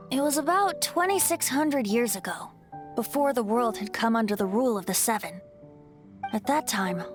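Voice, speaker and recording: A woman's voice: on the high side, natural voice. A woman voicing a youthful, playful male wind spirit and bard in a game, telling old stories in a light, lyrical way. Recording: game audio with clear music.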